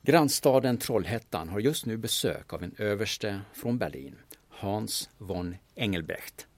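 A man's voice: fairly low pitch, lively intonation. An actor playing a blunt, pushy male investigator from the capital in a radio drama, curt, insinuating and threatening. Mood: probing.

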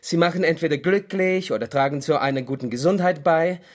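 A man's voice: a little high, fairly quick, natural voice. An actor playing an easygoing, earnest young businessman in a radio play, explaining things a little hesitantly.

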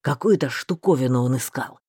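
A woman voicing a bluff, talkative male mage with a rough, folksy, rambling way of speaking. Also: extremely low pitch, brisk, a little mellow, no room sound, studio quality, voice pushed down.

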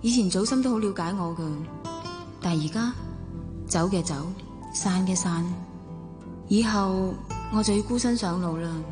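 A woman's voice: medium pitch, normal speed, pauses often, natural voice. A woman with a Hong Kong Cantonese accent acting a worldly, guarded divorced younger sister in a radio drama, nonchalant and wry, with wistful first-person narration. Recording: long reverb, music underneath. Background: music.

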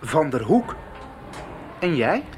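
An actor playing a touchy but easygoing teenage schoolboy in a radio soap. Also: male voice, on the high side, big pitch swings, slow pace, background noise. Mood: surprised, casual.

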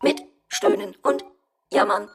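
A woman's voice: medium pitch, unhurried, faint music behind, close to an everyday voice. A woman playing a formal, faulty household robot that repeats itself in a children's radio play. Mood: formal reporting.

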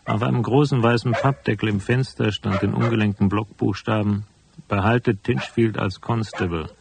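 An actor playing a cool, laconic private investigator who narrates his case in a radio drama, with a husky voice. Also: on the low side, fairly even intonation, moderate pace, noisy background. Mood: cool, brooding. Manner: laconic, matter-of-fact.

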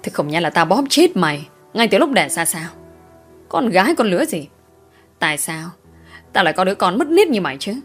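A woman's voice: on the low side, lively intonation, normal speed, long pauses, soft background music. A woman voicing a furious, strict middle-aged village father who scolds and growls at his daughter. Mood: furious scolding.

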